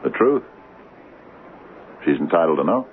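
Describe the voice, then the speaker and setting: An actor playing a troubled, humble small-town mayor in an old radio drama, earnest and halting, stammering over his words. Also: rumbling low, sing-song, exaggerated voice. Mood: anxious. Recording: phone-like, noisy old radio recording.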